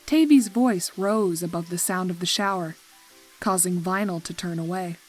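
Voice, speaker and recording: A woman's voice: fairly low pitch, moderate pace, rough recording. A woman reading scripted story narration as the narrator. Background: music.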